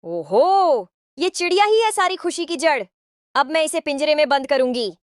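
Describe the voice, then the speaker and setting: A woman's voice: sits high, animated, normal speed, no echo, clean studio sound. A woman voicing a cruel, scheming stepmother who scolds spitefully in a cartoon moral story. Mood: scheming spite.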